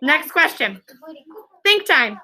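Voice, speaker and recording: High, bright woman teacher. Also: takes it slowly. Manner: animated, encouraging, prompting. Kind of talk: classroom discussion leading. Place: classroom.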